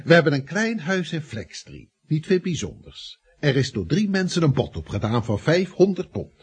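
An actor playing a stern, self-satisfied estate agency boss in a radio play, lecturing pompously. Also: male voice, fairly high pitch, big pitch swings, moderate pace, slightly rough, exaggerated voice. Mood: brisk, stern. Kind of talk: Dutch radio drama acting.

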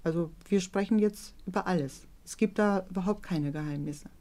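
Mellow-voiced middle-aged woman, reflective. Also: lowish voice, moderate pace. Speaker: interviewee, mother of a teenage daughter. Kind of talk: earnest, candid documentary interview.

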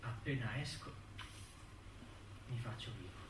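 An actor playing a wounded young man committed to an asylum by his family, speaking in deliberate, theatrical phrases with pauses. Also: mid-range voice, lively intonation, noisy background, natural voice. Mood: subdued, melancholy. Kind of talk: stage monologue acting. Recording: roomy distant stage recording with music. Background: music.

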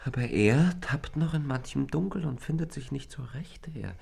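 A man playing a solemn stranger in love in a radio play, husky and intense. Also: mid-range voice, lively intonation, normal speed, darkish tone, full character voice. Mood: yearning. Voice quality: gravelly, husky. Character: yearning male lover, a solemn foreign stranger.

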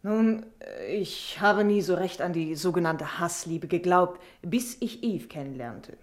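Actress as a blunt, wry woman, lower-pitched. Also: a little slow, natural voice. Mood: candid, curious. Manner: blunt, dryly teasing. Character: frank, sharp-tongued, wry working woman, Miss Mortimer. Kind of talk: radio drama acting.

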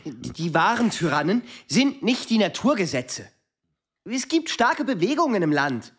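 An idealistic young son in a radio play. His husky, strained voice swings lively in pitch. He sounds like a student standing up for himself. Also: unhurried, exaggerated voice. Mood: fervent. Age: young adult. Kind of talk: German radio play acting.

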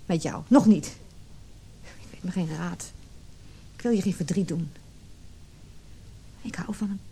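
An actress playing a conflicted but resolute forty-year-old wife in a radio drama, earnest and measured. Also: female voice, on the low side, mellow, slight background noise. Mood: torn, distressed. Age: middle-aged. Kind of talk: radio drama acting with reflective narration.

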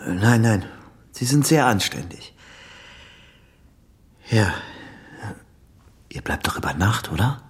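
An actor in a German radio play playing an obsessive, evasive man who speaks in a halting, guarded way and holds down his temper. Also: lowish voice, sing-song, breathy edge, heavily acted. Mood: distracted, halting. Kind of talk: German radio play dialogue and inner monologue.

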